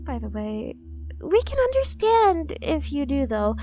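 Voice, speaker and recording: A woman acting a high-voiced, obsessive yandere bodyguard. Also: big pitch swings, a little slow, mellow, soft background music. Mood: sheepish. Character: dorky, obsessively devoted yandere female bodyguard. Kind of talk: ASMR roleplay acting.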